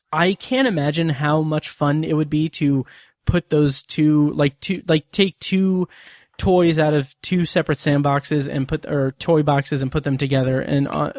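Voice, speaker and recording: A man co-hosting a podcast in casual chat, halting and searching for words, his voice getting hoarse. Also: fairly high pitch, darkish tone, no echo, clean studio sound. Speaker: podcast co-host.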